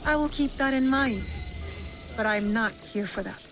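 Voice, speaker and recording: A woman's voice: fairly high pitch, moderate pace, over music, close to an everyday voice. An actress as terse, guarded female assassin. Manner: terse, cool, direct. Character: determined, guarded female shinobi assassin in feudal Japan. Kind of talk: scripted video game cutscene acting.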